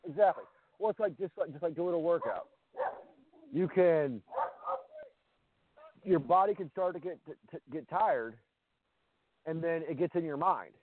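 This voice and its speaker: A man's voice: a little high, lively intonation, unhurried, long pauses, dry recording. Upbeat coaching man in his thirties. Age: 30s. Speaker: radio show co-host. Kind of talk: casual radio chat. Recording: dry, tinny phone-like line.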